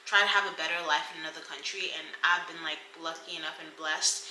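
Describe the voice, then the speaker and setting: A woman's voice: low voice, steady tone, fast talker, sharp tone, roomy sound, slight background noise, rough recording. A woman in her mid-twenties from Cameroon, partly raised in the US, chatting casually and informally to camera as a vlogger, with giggly, rambling asides. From Cameroon, partly grew up in the US. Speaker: vlogger introducing her channel.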